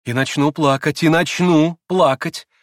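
A man reading an audiobook and voicing a passionate young woman who is proud, emotional and quick to flare. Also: mid-range voice, lively intonation, no room sound, clean studio sound, voice pushed up, lightly acted. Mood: tearful.

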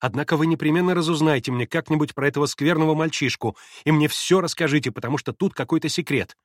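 A man's voice: a little high, fast, dry recording, voice pushed up, lightly acted. An imperious, capricious young invalid girl barks out breathless orders in a raised, pushy voice, as a male reader puts her on. The delivery is dry and close, with no room around it. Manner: urgent, commanding, breathless.

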